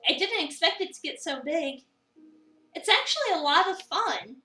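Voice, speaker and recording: A woman's voice: extremely high pitch, big pitch swings, normal speed, pushed and bright, exaggerated voice. A woman voicing a bubbly, confident young female cafe coworker in a visual novel, perky and encouraging. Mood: bubbly, proud. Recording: roomy, distant mic, music behind.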